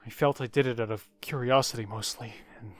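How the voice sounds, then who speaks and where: A man narrating a scripted first-person horror story, with dramatic pauses and phrases that trail off quietly. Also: mid-range voice, steady tone. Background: music.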